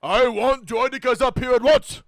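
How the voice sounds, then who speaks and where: A man playing a flustered, anxious alien viceroy with halting delivery in a comedic script read. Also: very high-pitched, fairly even intonation, creaky, fairly bright tone, no echo, studio quality, heavily acted. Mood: panicked.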